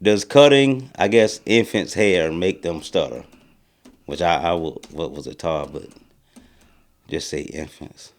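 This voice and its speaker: A young man with a deep, low voice, speaking in a casual podcast chat. He rambles through his stories at an easy pace and stutters now and then. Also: unhurried. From rural American South. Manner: laid-back, rambling storytelling with a mild stutter. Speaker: podcast co-host.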